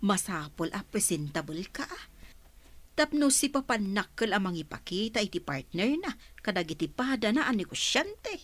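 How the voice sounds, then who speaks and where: A woman's voice: medium pitch, big pitch swings, moderate pace, a bit bright, no echo. An actress playing a caring mother who gives her daughter advice in a radio drama. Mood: motherly.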